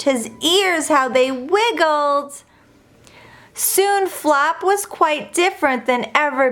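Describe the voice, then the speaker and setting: A woman with a fairly high voice reads a children's rhyming story aloud. Her delivery is very slow and measured, with each phrase set out deliberately and expressively. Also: a bit bright. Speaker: storybook reader.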